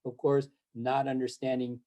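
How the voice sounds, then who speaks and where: An older man born in Japan and raised in the United States, speaking, answers interview questions over a video call in a halting way, with many fillers and restarts. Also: medium pitch, monotone, warm tone. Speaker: interview guest, film series board president.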